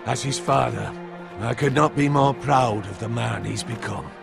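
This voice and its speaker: An actor playing a proud middle-aged medieval lord in a fantasy game, speaking in a measured, formal, commanding way. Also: male voice, medium pitch, vocal fry. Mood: calm, fatherly. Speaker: video game character actor. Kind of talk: cinematic fantasy game dialogue. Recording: game music behind the voice.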